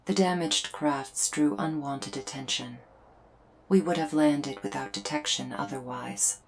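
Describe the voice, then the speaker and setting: A woman voicing a solemn female alien invader in a scripted ASMR roleplay, speaking in measured, formal, deliberate phrases with pauses. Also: sits low. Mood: grave, measured. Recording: music behind the voice. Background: music.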